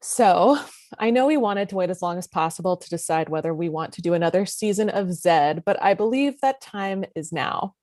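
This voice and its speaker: A woman's voice: fairly low pitch, expressive, moderate pace, darkish tone, dry recording, lightly acted. A woman reading the part of a sardonic, dismissive female TV network executive in a comedy table read. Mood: businesslike. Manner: dry, dismissive, caustic.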